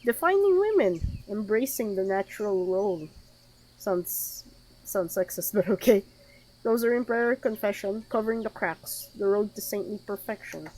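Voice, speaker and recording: A woman's voice: medium pitch, animated, normal speed, darkish tone, poor audio. A woman streaming a game with casual commentary, reading text aloud and dropping wry, informal asides. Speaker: gaming streamer.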